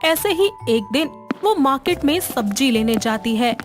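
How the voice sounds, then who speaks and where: A woman's voice: sits high, expressive, unhurried, soft background music. A woman narrator reading a scripted moral story in storybook style.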